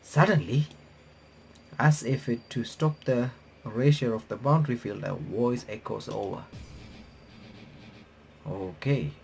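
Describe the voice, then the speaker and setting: A man does a let's-play, reading game text out loud in a halting, mid-pitched voice and dropping in casual asides. He stumbles over phrases and rereads them, with some game music playing behind him. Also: gravelly. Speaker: let's-play video creator reading a visual novel aloud. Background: visual novel music.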